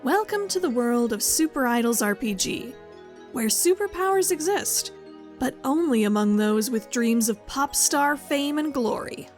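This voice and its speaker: A woman with a high voice narrates a scripted podcast trailer. Faint music runs underneath her. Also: expressive, unhurried. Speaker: promo narrator.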